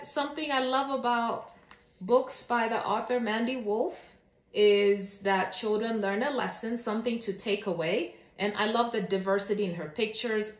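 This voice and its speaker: Woman doing a kids book read aloud n review, expressive, medium pitch, kinda echoey room. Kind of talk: children's story read-aloud and casual review.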